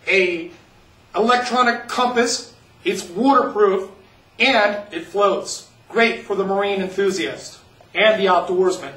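A man pitching items for an upcoming auction in a folksy sales voice, often calling the listeners folks. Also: takes it slowly, bright, forceful tone, distant mic. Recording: roomy, from off the mic.

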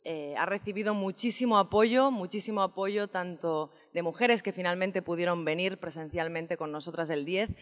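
A woman with a Spanish accent introducing speakers on a conference panel, often repeating her phrases. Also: fairly low pitch. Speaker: panel moderator. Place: conference hall stage. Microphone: table microphone. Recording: echoey conference hall.

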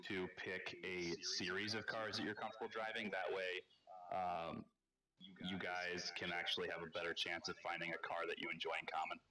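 A man's voice: low voice, little pitch movement, moderate pace, a crowd in the background, low-quality recording. A man in his thirties hosting a community voice chat, explaining rules patiently and joking around.

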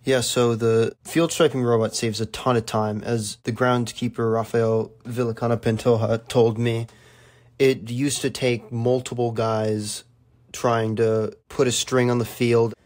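A young man explaining things as a student reporter in an informal, conversational interview, phrasing his answers loosely. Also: mid-range voice. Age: young adult.

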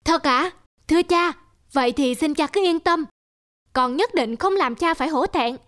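High-voiced proud young schoolboy. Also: female voice, normal speed, a bit bright. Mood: eager, confident. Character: proud, eager young schoolboy, official's son. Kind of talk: animated film dialogue. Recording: music behind.

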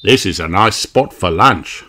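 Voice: sits low, lively intonation, measured pace, bright, forceful tone, slight background noise, full character voice. A narrator voicing a genial, reassuring grown-up male kangaroo in a children's storybook.

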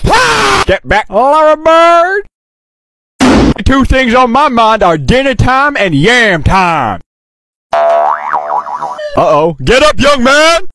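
Male comedy sports voice-over, exaggerated trash-talk yelling, huge pitch swings, very noisy crowd-filled recording. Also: wildly swooping pitch, very slow, long pauses. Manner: exaggerated trash-talking shouts. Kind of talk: comic sports play-by-play voice-over. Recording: shouting over loud crowd noise.

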